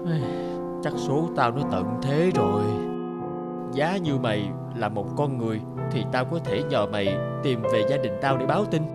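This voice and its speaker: An actor voicing a frightened, weary fugitive in an animated fairy tale, talking to himself dramatically. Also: male voice, mid-range voice, normal speed, close to an everyday voice. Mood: weary, resigned. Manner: dramatic, expressive self-talk. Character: frightened, weary male fugitive hiding in a cave. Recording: loud music under the voice.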